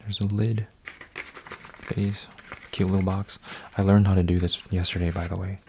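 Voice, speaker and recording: Deep, flat-voiced young man rambling softly. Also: unhurried, a little hiss or hum. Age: 20s. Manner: meandering, full of fillers and restarts; folding paper while talking, drops to whispers. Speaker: ASMR creator. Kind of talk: soft-spoken rambling ASMR.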